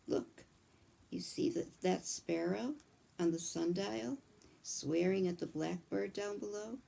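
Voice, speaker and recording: A woman's voice: medium pitch, slow pace, vocal fry, exaggerated voice. A woman reading as a kindly old country doctor. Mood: fond. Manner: calm, chatty storybook read-aloud.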